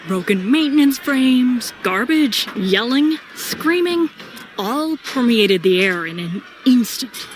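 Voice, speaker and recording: A woman's voice: fairly high pitch, animated, slow, slight background noise. A woman giving scripted, dramatic first-person narration as the narrator of an audio story.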